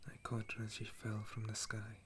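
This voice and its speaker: A man playing a weary, tender legendary elf war hero in an intimate ASMR roleplay, speaking in a soft, breathy half-whisper. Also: lowish voice, completely flat delivery, slight background noise, lightly acted. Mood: somber, grieving. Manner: slow, gentle, wistful storytelling.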